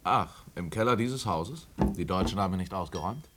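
An actor in a 1950s German radio drama playing a dry, probing private detective, terse and questioning, with hesitant hums. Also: male voice, low-pitched, lively intonation, brisk, heavy background noise. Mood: skeptical, inquiring.

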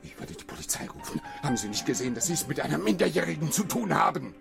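An actor playing a stern, brusque shopkeeper in a radio play, clipped and commanding. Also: male voice, on the high side, big pitch swings, quick pace, creaky, music in the background, heavily acted. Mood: outraged.

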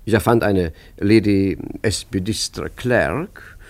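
A man's voice: on the low side, takes it slowly. An actor playing a genteel, self-satisfied art forger in a 1950s German radio play, chatty, urbane and mock-modest as he confides in the listener. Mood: smug, savouring.

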